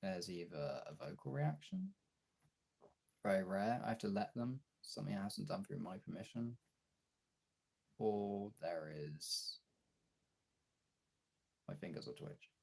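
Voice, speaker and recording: Husky, creaky low-voiced man. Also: expressive, a little mellow. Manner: halting, candid, swearing. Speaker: live streamer and voice actor. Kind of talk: casual confessional livestream chat. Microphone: phone.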